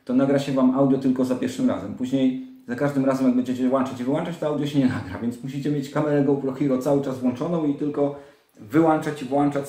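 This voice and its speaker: A man's voice: mid-range voice, dark tone. A man giving a casual, hands-on how-to as a moto vlogger, informal and going step by step with small interjections. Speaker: moto vlogger presenting a gear setup guide. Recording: echoey, roomy indoor sound.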